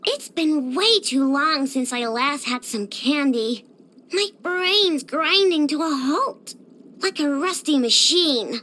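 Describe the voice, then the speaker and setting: A woman's voice: sits high, lively intonation, slow pace, pushed and bright, full character voice. A woman voicing a childish, playful and bossy little girl inventor with a sweet tooth, as a video game character. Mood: whiny, pouting.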